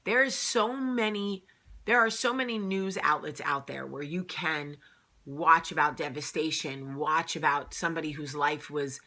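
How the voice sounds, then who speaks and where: A woman's voice: low-pitched, sharp tone. A woman hosting her own vlog, talking straight to the camera in a casual, earnest way, stressing her points and often saying "um". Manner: earnest, emphatic, repeats phrases, many "um"s. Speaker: vlog host. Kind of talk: casual direct-to-camera talk.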